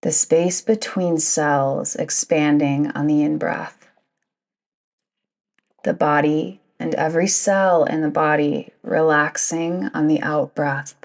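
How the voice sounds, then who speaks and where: A woman guiding a yoga nidra meditation in a soothing, deliberate manner, with long pauses between phrases. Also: deep voice, steady tone, creaky. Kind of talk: guided meditation narration.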